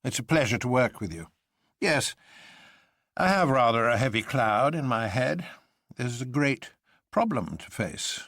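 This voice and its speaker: A man voicing a gloomy professor from a storybook, who speaks slowly and sadly as a paralytic old man would, though merry inside. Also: mid-range voice, very animated, a bit bright, no echo, studio quality, exaggerated voice. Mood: grave. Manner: slow, sad speech belying boyish merriment. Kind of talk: dramatised audiobook storytelling.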